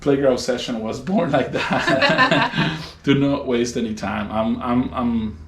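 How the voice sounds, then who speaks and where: A man whose first language is Spanish, talking casually about his music as an interview guest at home, relaxed and laughing often. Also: mid-range voice, takes it slowly. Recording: echoey room with faint noise.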